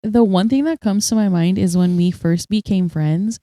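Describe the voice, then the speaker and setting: A young woman co-hosting a casual chat podcast, telling stories in an animated way and acting out what people said. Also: mid-range voice, moderate pace, no echo, studio quality. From United States. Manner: storytelling, animated, acts out quotes. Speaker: podcast co-host.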